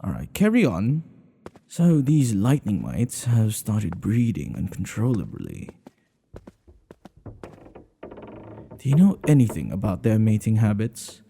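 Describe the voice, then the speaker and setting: A man playing a smitten older king in an ASMR boyfriend roleplay, regal and teasing, with courtly phrasing and a breathy voice that often drops toward a whisper. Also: lowish voice, huge pitch swings, moderate pace, warm tone, a little hiss or hum, heavily acted. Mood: formal, courtly.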